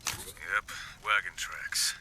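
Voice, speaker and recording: An actor playing a steady, loyal resistance scout in a strategy game, laconic and calm. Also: male voice, low voice, drawn-out words, background noise. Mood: grim, calm.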